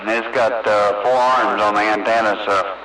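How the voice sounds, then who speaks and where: A man's voice: lowish voice, steady tone, normal speed. A man chatting casually and in a folksy way over CB radio. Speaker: CB radio operator. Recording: noisy CB radio transmission.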